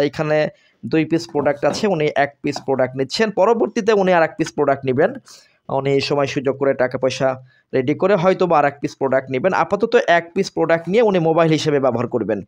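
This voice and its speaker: Higher-voiced Bangladeshi man, halting and repetitive. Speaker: seller presenting products on video. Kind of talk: unscripted sales talk and customer call.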